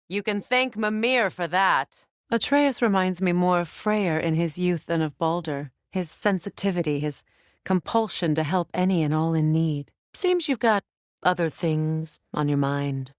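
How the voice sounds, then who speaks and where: Grave, proud Norse warrior goddess. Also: female voice, fairly low pitch, dry recording, studio quality. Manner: measured, formal, earnest. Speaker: video game voice actress. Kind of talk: dramatic game dialogue.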